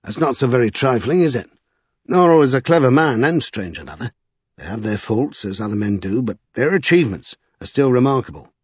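A man's voice: medium pitch, animated, brisk, breathy edge, no echo, lo-fi sound, pitched above the performer's own voice. A man voicing a frowning, loyal, defensive manservant in an audiobook. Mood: stung, defensive.

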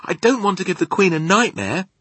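A man's voice: sits high, very animated, fairly quick, a bit bright, breathy edge, no echo, voice pushed up, full character voice. A man voicing a tender-hearted, easily alarmed little girl in a children's storybook. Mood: distressed. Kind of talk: children's storybook character acting.

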